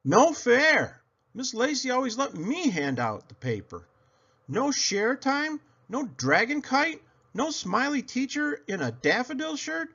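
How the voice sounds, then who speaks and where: Man as little first-grade girl, storybook character, indignant, whiny, exaggerated stresses, raised pitch, very animated. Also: unhurried, lo-fi sound, exaggerated voice. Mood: whiny indignation.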